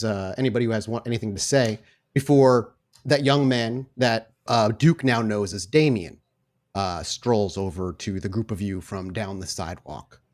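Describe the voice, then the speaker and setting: A man's voice: mid-range voice, darkish tone. Man narrating a tabletop roleplaying game. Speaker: game master.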